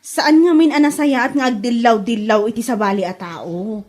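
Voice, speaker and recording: Actress as a nosy Ilocano village wife, high voice, scolding and emphatic, for comedy drama. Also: female voice, normal speed, darkish tone, lightly acted. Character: sharp-tongued, scolding village woman, a gossipy neighbour and wife. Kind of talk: Ilocano comedy radio-style drama acting.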